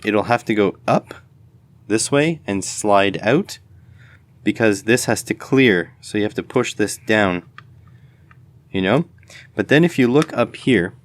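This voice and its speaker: A man's voice: fairly low pitch, no room sound. A man giving casual hands-on commentary as host of an electronics teardown video, tentatively thinking aloud as he goes.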